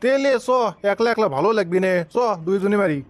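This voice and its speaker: A man's voice: sits high, animated, a little fast, darkish tone. A man voicing a boastful, grumbling small-town stoner uncle in a comic cartoon, with a northern Bangladeshi accent. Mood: bantering, fussy. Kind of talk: comic cartoon dialogue in rural dialect.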